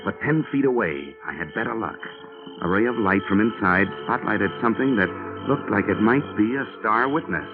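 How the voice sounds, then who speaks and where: A man's voice: lowish voice, lively intonation, normal speed, over music, natural voice. An actor playing a wisecracking insurance investigator who narrates his own case, with a dry manner, in a 1950s radio drama. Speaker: narrator and lead. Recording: tinny 1950s radio broadcast.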